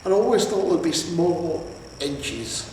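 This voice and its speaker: Man playing an old wistful barbarian, pitched up, echoing. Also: animated, unhurried, a little mellow. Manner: wistful, hesitant, plain-spoken. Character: aging male barbarian hero, wistful and world-weary. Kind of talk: storybook character reading. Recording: echoey reverberant room, distant, faint hiss.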